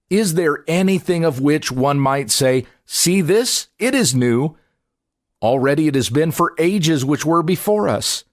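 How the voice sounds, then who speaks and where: A man reads scripture aloud like a pastor narrating an audiobook, in a measured, solemn, deliberate way. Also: mid-range voice, dry recording, studio quality.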